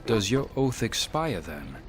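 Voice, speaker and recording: An actor voicing a calm, terse warden hero in a video game. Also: male voice, fairly low pitch, unhurried. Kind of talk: video game dialogue acting. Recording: game music behind.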